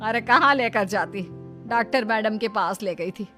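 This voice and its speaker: A woman's voice: on the high side, moderate pace, over music, lightly acted. A woman voicing a domineering, stubborn older mother-in-law who scolds and commands in a family cartoon. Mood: scolding, angry. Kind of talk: Hindi family cartoon acting.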